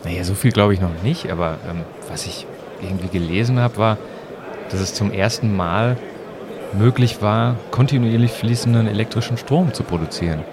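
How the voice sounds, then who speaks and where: A man's voice: on the low side, normal speed, noisy background. A man in conversational audio drama dialogue, playing a time-travelling presenter with an inquisitive, marvelling manner.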